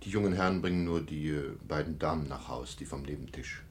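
Low-voiced, polite, deferential waiter. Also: male voice, a little fast. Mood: courteous, apologetic. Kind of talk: 1950s German radio drama acting. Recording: noisy old radio recording, room ambience.

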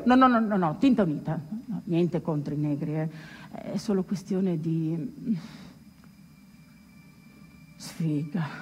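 A woman's voice: mid-range voice, close to an everyday voice. An actress performing a wry, agitated male storyteller in a theatrical monologue.

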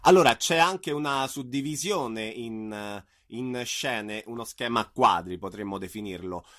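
A man's voice: medium pitch, measured pace, a bit bright. Man hosting a radio interview. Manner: brief questions and backchannel "mm". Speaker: radio host. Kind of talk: radio interview, asking questions.

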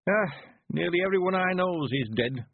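A man's voice: high voice, animated, normal speed, vocal fry, no echo, phone-quality sound, voice pushed up, full character voice. A man voicing a cheerful, sprightly rustic in his nineties with a rural West Country accent, speaking emphatic, folksy dialect for an audiobook. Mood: wistful. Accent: rural English West Country. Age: 90s. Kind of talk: audiobook character voice.

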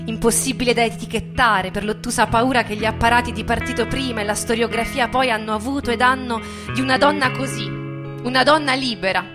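A woman's voice: on the high side, fairly even intonation, fairly quick. A woman giving an earnest, lyrical theatrical reading. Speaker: stage performer. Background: plucked guitar music.

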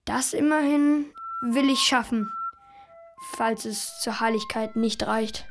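Slow, high, wondering memory voice. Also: female voice, music in the background. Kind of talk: literary radio play acting.

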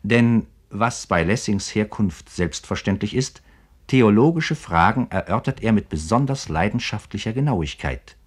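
A man narrating a scripted biographical radio feature in a formal, measured manner. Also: fairly low pitch, animated, husky.

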